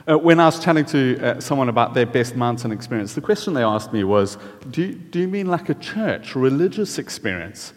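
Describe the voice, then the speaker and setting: South African man preaching in a reverberant hall. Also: medium pitch. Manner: chatty, friendly, filler-heavy. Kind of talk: casual sermon with audience questions. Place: church hall.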